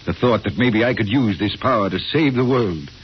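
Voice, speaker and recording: An actor playing an anxious, idealistic professor in an old radio drama, speaking in formal, earnest, fussy phrases. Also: male voice, fairly low pitch, normal speed, natural voice. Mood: apologetic, troubled. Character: anxious, idealistic professor with frightening psychic powers. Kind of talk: 1950s radio drama acting. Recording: noisy, muffled old radio recording.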